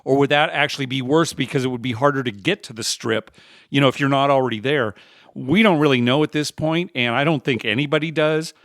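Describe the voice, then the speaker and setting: A man's voice: mid-range voice, a little fast, a bit bright, no echo, clean studio sound. A man hosting a podcast in a casual, chatty style. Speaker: podcast host. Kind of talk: casual chat.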